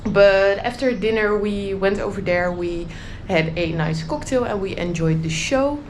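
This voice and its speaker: A woman travel vlogger chatting casually to the camera, slightly croaky from just waking up, at a holiday resort. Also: medium pitch, measured pace, slight background noise. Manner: chatty, relaxed. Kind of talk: casual vlog talk to camera.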